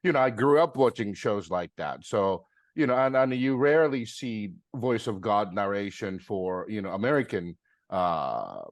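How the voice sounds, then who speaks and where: A man's voice: lowish voice, lively intonation, normal speed, dry recording, clean studio sound. A middle-aged man from Japan, speaking earnestly as a filmmaker guest, full of fillers and restarts. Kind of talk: interview conversation.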